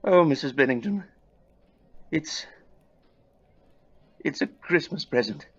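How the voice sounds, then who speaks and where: A man playing an elderly reformed miser, halting, earnest and formally courteous, in a Victorian audio play. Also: fairly high pitch, lively intonation, husky, exaggerated voice. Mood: tender. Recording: dull lo-fi recording.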